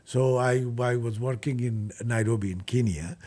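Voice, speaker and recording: A man with a Bangladeshi accent being interviewed, explaining his work earnestly and haltingly, often repeating words. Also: medium pitch, darkish tone. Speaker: guest, charity furniture bank leader.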